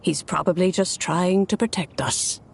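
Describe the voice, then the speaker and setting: A wise, gently amused immortal in a video game. Her voice is lowish with a clear creak, poised and composed. Some rumbly noise sits behind it. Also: fairly even intonation, normal speed. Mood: wry, reassuring. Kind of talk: video game character acting.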